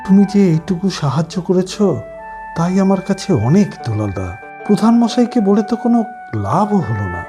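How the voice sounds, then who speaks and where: Plaintive, swooping poor villager. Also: male voice, high-pitched, sing-song, normal speed, dark tone, soft background music. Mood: grateful, resigned. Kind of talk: Bengali cartoon dialogue.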